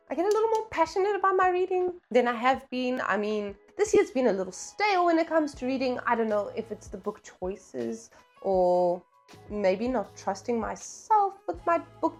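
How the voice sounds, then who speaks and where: A woman talking casually in a book vlog, chatty and playful, with slangy, self-deprecating asides. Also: on the high side. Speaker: book vlogger.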